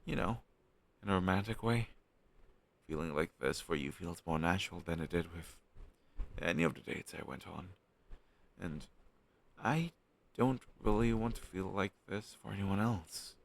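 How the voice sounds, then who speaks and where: Guy acting as a shy lovestruck best friend, young, deep husky voice, halting n tender, romance roleplay. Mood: tender, nervous. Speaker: audio roleplay performer.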